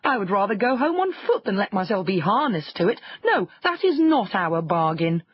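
Lady putting on a haughty storybook rooster, stubborn, slightly husky, dull-ish recording. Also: mid-range voice, sing-song, moderate pace, dry recording. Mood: haughty refusal. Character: proud, stubborn male cock.

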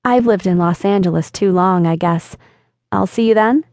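A woman's voice: mid-range voice, moderate pace, darkish tone, no room sound, clean studio sound, close to an everyday voice. A woman narrator voicing a curious, flustered young woman in an audiobook, with an eager, slightly nervous delivery. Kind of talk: audiobook dialogue reading.

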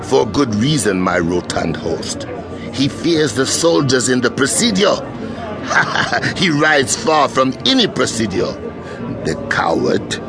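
An actor playing a boastful, hard-drinking Spanish colonial sergeant in an audio drama, bombastic and mocking. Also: male voice, medium pitch, big pitch swings, slow, creaky, music in the background, heavily acted. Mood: scornful boasting. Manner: bombastic, mocking, laughs loudly at own jibes.

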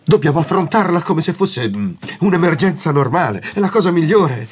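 An actor playing a wry, prank-loving fire chief in an Italian audio drama, with a sarcastic, theatrical delivery. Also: male voice, a little high, expressive, fairly quick, slight background noise, natural voice. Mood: mock grave.

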